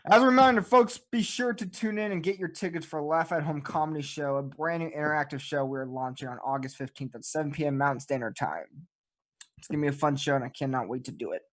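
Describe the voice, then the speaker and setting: A man's voice: on the high side, quick pace. A man hosting a talk show, chatting and making announcements to listeners. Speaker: podcast host.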